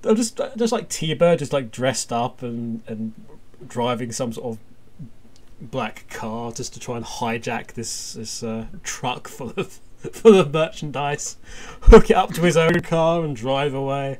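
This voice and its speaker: A man hosting a casual fan podcast, rambling excitably and jokily through stories and listener feedback. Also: mid-range voice, slow pace. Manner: excitable, jokey, meandering storytelling.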